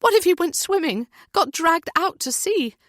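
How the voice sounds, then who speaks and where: A woman's voice: very high voice, lively intonation, normal speed, fairly bright tone, dry recording, studio quality, pitched above the performer's own voice. A woman voicing an anxious young woman, speaking in frantic, urgent bursts. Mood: panicked. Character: anxious young woman, panicking over missing partner.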